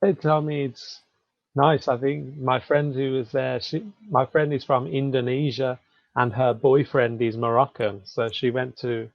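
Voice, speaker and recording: A man from England with a Birmingham accent and a deep voice, chatting casually as a conversation tutor. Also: fairly even intonation, unhurried, creaky, no echo. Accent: Birmingham English. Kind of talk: casual chat. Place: online video call. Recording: dull lo-fi call audio.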